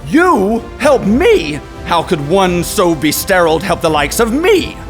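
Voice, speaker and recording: A man's voice: sits high, very animated, full character voice. A man playing a grandiose, melodramatic mold spore with a crackly voice in a comic audio drama. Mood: taunting, grandiose. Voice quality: crackly, gravelly. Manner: melodramatic, drawn-out declamation. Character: desolate, doomed, grandiose rebel mold spore leader. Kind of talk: theatrical audio comedy drama. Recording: music behind voice.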